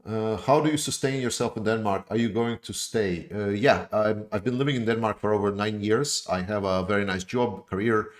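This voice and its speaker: A man's voice: fairly low pitch, normal speed, hardly any pauses. A man from Latvia hosting a casual live Q&A, reading out viewer questions and answering them loosely, with frequent fillers and restarts. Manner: casual, with many fillers, restarts and stammered repeats. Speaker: YouTube channel host.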